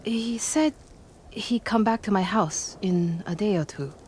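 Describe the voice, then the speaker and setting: An actress playing a humble, helpful peasant woman in a video game, soft-spoken, hushed and deferential. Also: mid-range voice, unhurried, slight background noise, lightly acted. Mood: timid, helpful. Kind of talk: video game cutscene acting.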